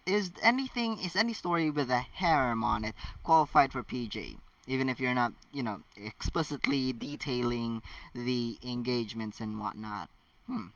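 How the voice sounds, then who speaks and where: Bright-voiced man reading, set back from mic. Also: mid-range voice, moderate pace, no echo, far from the mic, lo-fi sound. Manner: chatty, joking, rambling. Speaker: host of a fan fiction reading channel. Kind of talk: casual story read-aloud with chatty asides.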